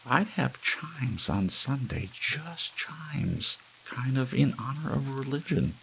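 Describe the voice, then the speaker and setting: A man's voice: mid-range voice, slow pace, creaky, a bit bright, a little hiss or hum, pitched above the performer's own voice. A man voicing a simple-minded, cheerful housewife with an artless, folksy, chatty manner, in an audiobook story. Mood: chatty, eager.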